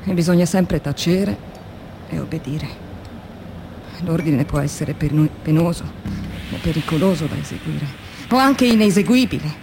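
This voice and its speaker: An actress playing an anguished, exhausted factory worker confiding her diary in a dramatic radio monologue that shifts between hushed and vehement delivery. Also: sits low, measured pace, long pauses, music in the background. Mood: bitter, resigned.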